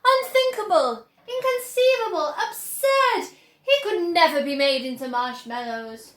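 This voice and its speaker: Woman doing an eccentric male candy maker, theatrical, bright, bouncing pitch, echoey room. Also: sing-song, a little slow, exaggerated voice. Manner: theatrical, soothing yet giddy.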